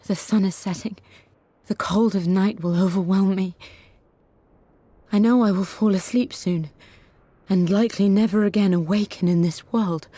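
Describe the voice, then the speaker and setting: An actress playing a gentle, dying mother in a video game, tender, sad and weary in a soft, breathy voice. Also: medium pitch, steady tone, no room sound, lightly acted. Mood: weary, frightened love. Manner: slow, tender, fragile. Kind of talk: intimate dramatic letter reading.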